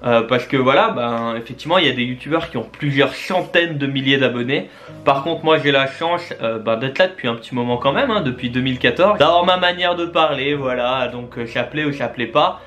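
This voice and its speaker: A man's voice: mid-range voice, brisk, a bit bright, soft background music. A man in his twenties chatting casually and eagerly to his viewers, with many fillers and restarts. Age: 20s. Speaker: YouTube and Twitch creator. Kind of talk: casual vlog address to viewers.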